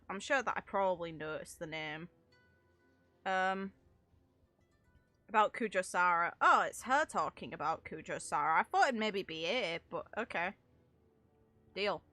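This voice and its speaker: A woman with a bright, forward voice at a medium pitch, reacting casually to a video game. She is chatty and slangy, and the sound is close and dry. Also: animated, a little slow, pauses often, dry recording, faint music behind. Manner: informal, chatty, with British slang and mild swearing. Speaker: streamer reacting to game voice lines.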